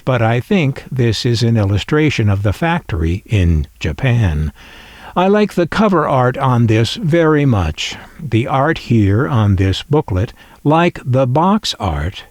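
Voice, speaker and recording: A man hosting a collector video, calmly describing an old item and reading from its manual, with wry little asides. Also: mid-range voice, no room sound, studio quality. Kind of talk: unhurried descriptive show-and-tell.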